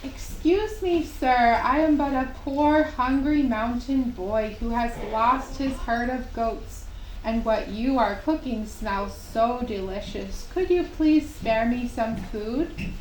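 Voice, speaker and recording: A woman's voice: fairly high pitch, slow pace, distant mic. A woman voicing a poor, earnest young mountain boy in a puppet show story, polite and full of wonder. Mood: polite pleading. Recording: roomy live recording with faint noise.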